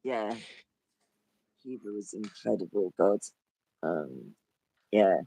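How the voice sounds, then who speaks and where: Croaky hoarse man. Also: fairly low pitch, expressive, slow pace, dark tone, rough recording. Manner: rambling, trails off and restarts. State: hoarse with a cough. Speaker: podcast guest. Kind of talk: casual conversation.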